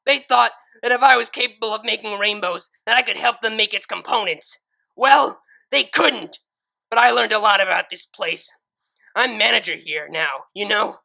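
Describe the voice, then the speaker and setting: A reader voicing a grown female pegasus turned cruel, who yells at a young pony with bitter fury in a fan fiction audiobook. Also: medium pitch, normal speed, gravelly, pitched above the performer's own voice, rough recording. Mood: furious. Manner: furious yelling, bitter and cold. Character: adult mare pegasus turned ruthless, screaming with hate.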